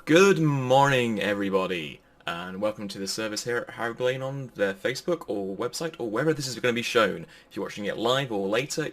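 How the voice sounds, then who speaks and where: A man giving an informal, pre-recorded sermon in a chatty, jokey manner. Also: lowish voice. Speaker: preacher.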